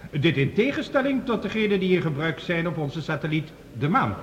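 A man's voice: a little high, big pitch swings, moderate pace, lo-fi sound, a little hiss or hum. An actor playing an earnest male scientist, giving a formal, precise lecture in a science fiction radio play. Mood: earnest, explanatory. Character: male scientist reporting Mars findings, earnest and authoritative. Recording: reverberant hall, radio drama production.

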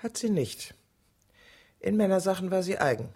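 A middle-aged woman eaten by jealousy, secretly murderous and tightly controlled. Her low voice is creaky and husky, often slipping toward a confiding whisper. The delivery is clipped and venomous, in the intimate manner of radio drama. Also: lively intonation, moderate pace, darkish tone, no echo. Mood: guarded, controlled.

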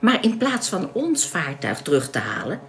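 A woman's voice: on the low side, huge pitch swings, normal speed, fairly bright tone, exaggerated voice. Composed, lilting young alien woman. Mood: earnest, explanatory. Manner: polite, composed, explanatory. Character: calm, welcoming young alien woman guiding stranded space travellers. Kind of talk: radio drama acting.

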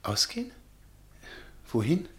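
A man's voice: slow pace, close to an everyday voice. An actor playing a shy, hesitant male history professor, stammering and unsure, in an old German radio play.

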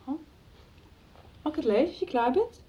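A woman's voice: sits high, lively intonation, moderate pace, dull audio. An actress playing a friendly, polite schoolgirl in a radio drama. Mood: polite, curious. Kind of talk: 1990s radio drama acting.

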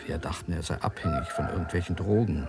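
An actor in a radio drama playing a thoughtful, composed doctor who explains things in a measured, reflective way. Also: male voice, deep voice, gravelly, music in the background. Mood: sober, explanatory.

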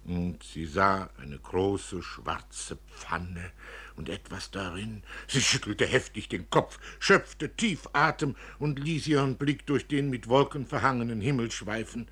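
Casting: male narrator for literary fiction, somewhat low and raspy, reading scripted prose with lively expression. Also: slow.

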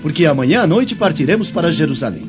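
An actor playing an arrogant, scheming Roman slave master, imperious and sneering, in a radio drama. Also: male voice, a little high, very animated, fast. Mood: imperious, mocking. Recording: dull lo-fi radio drama, music underneath.

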